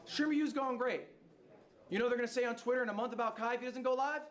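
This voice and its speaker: High-pitched guy, blunt pep talk, swears a bit. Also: fast. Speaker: speaker in the watched video. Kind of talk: motivational advice.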